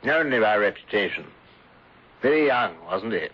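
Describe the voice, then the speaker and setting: A dull, lo-fi radio recording of a smooth gentleman schemer. His voice sits fairly low, and he speaks in florid, unctuous phrases with delicate hesitations and mournful sympathy. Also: animated, normal speed. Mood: probing, insinuating. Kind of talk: radio drama acting.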